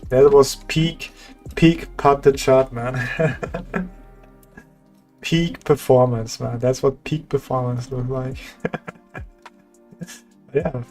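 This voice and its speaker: Young man, slow relaxed stream chat, laughs a lot, faint music behind. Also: mid-range voice. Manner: laid-back, laughs often, says "damn, man" a lot. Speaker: streamer chatting with viewers while drawing. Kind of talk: casual livestream chat.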